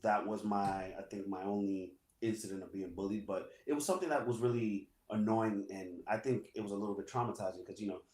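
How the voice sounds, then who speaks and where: Relaxed New man, echoey room. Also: on the low side, brisk, hardly any pauses. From New York. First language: Spanish. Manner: relaxed, many "you know" fillers. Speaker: guest, assistant principal. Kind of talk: casual interview storytelling.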